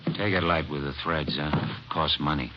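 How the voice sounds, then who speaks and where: An actor playing a cocky young thief, sullen, slangy and sardonic, as an evasive suspect in an old radio crime drama. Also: male voice, rumbling low, moderate pace. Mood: defiant, sardonic. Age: young adult. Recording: dull, noisy old radio recording.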